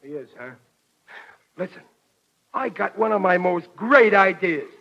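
High-pitched brash American schemer, old radio. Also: male voice, animated, measured pace, vocal fry, heavily acted. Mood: scheming. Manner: brash, slangy working-class speech. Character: scrappy, scheming working-class man campaigning loudly. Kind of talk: old-time radio comedy acting. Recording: muffled, hissy vintage radio recording.

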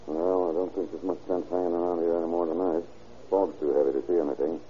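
An actor playing a brisk, steady police chief in an old radio crime drama, giving clipped orders. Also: male voice, low-pitched, faint music behind, lightly acted. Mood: brisk, calm. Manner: clipped, commanding. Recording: dull, noisy old radio recording.

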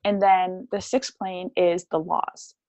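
A woman's voice: fairly low pitch, fairly even intonation, slow, mellow, dry recording. A young woman talking as a podcast guest about her healing practice, chatty and casual, with many fillers. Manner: chatty, explains with lots of "like" and "um".

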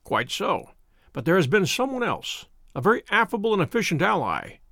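A man voicing a cool, brilliant male detective who explains his reasoning crisply, like a professor to a class. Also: sits high, very animated, moderate pace, bright, forceful tone, no echo, studio quality, heavily acted. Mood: brisk lecturing.

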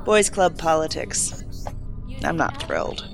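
A wry lady fed in a radio play, teasing, really varied pitch, music under it. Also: huge pitch swings, slow, gravelly, exaggerated voice. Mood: discontented. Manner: dry, teasing. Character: wry, stubborn female federal field agent. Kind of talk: audio drama acting.

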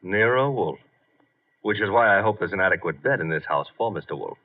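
An actor playing a tough, brisk, slangy detective's assistant in a 1950 radio drama. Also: male voice, on the low side, lightly acted. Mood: playful. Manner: slangy, wisecracking, animated. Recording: muffled old 1950 radio broadcast.

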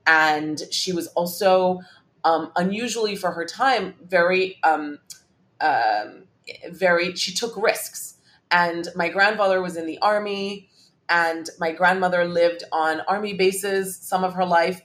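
A woman's voice: on the low side, fairly bright tone. A woman in her forties from Hong Kong, telling family stories as a podcast guest and often restarting her phrases. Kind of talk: casual interview chat.

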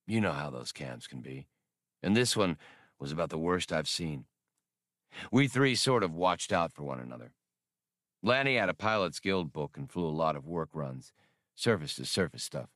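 A seasoned, portly space adventurer in an audiobook , played by a male narrator. His voice sits very low, with a measured, gruff, matter-of-fact delivery. The recording is close and dry. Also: animated, fairly bright tone, no echo. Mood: grim recounting.

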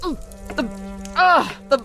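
Shrill, frantic grieving mother. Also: big pitch swings, drawn-out words, a bit bright, soft background music, heavily acted. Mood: frantic, desperate. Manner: wailing, frantic, pleading. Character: desperate grieving elderly mother, frantic for her dead son. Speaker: actor. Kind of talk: horror audio drama acting.

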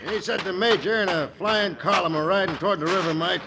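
An actor playing a rough, grudging outlaw henchman with a gravelly voice in an old radio western. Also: sits high, brisk, natural voice. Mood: urgent, obedient. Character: rough male outlaw henchman, knife thrower, grudging and vengeful. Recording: noisy old radio recording.

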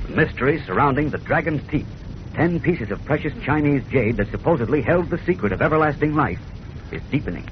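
A man's voice: a little high, expressive, a little fast. A man announcing and narrating a 1940s radio serial in a grand, emphatic, dramatic style. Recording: muffled old radio recording, faint noise.

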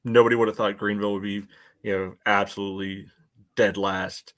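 A man co-hosting a soccer podcast, giving casual analysis in a measured, halting way full of verbal padding. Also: fairly low pitch, little pitch movement, a bit bright. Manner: hesitant, measured, frequent "you know" hedging.

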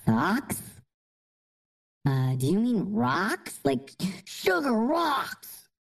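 A woman's voice: medium pitch, wildly swooping pitch, extremely slow, exaggerated voice. A woman playing a bossy, earnest young girl with schemes, in a comedic audio sketch. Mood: exasperated. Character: bossy, scheming young girl, prize-obsessed best friend.

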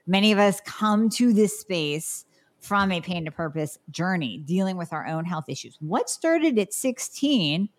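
Woman, podcast host, chatty, enthusiastic, somewhat low voice. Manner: chatty, enthusiastic, reads bio aloud. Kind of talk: casual interview.

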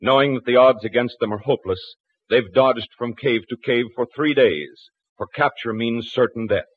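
A man's voice: mid-range voice, moderate pace, phone-quality sound. A man narrating and announcing an old 1940s radio adventure serial in scripted, dramatic broadcast style.

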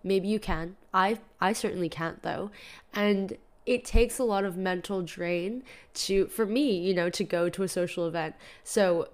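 A woman's voice: medium pitch, unhurried. A young woman hosting a solo podcast, talking casually with a stuffy nose and sore throat, filling her talk with "like" and "you know". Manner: chatty, lots of "like" and "you know". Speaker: podcast host.

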